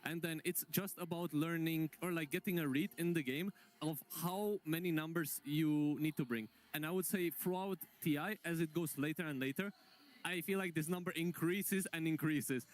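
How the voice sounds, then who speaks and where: Male esports analyst, slightly high voice, conversational panel talk. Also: steady tone, moderate pace.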